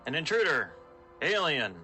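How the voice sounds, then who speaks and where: A man playing a gruff, guarded spaceship captain in a sci-fi radio drama, blunt and suspicious. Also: a little high, very animated, normal speed, bright, forceful tone, faint music behind, heavily acted. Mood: alarmed, aggressive.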